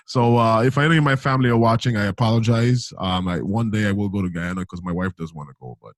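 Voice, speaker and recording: A man's voice: on the low side, fast talker, dry recording. A man in his thirties from Saint Lucia, hosting a podcast in a laid-back, slangy chat. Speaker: podcast host. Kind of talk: casual chat.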